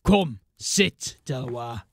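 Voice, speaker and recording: An actor playing a dying old gang boss in a fantasy audio drama, gruff and sardonic, weak with pain and coughing. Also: male voice, medium pitch, lively intonation, extremely slow, fairly bright tone, no echo, full character voice. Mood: weary, grave. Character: dying old male gang boss, defiant, bitter, foul-mouthed.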